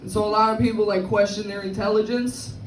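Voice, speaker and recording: A woman from the South Bronx with a Bronx accent and a deep, slightly cracked voice, doing a brash, crude stand-up set on a club stage. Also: steady tone, quick pace, a little hiss or hum. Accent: Bronx New York. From South Bronx, New York. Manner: brash, crude, self-mocking punchlines. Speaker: stand-up comedian. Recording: echoey club, far from the mic. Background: audience laughter.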